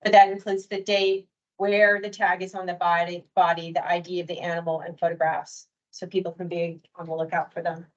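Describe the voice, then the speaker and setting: A woman giving a measured, detailed presentation on permit rules at a workshop. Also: on the low side, little pitch movement, dull audio. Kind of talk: prepared presentation.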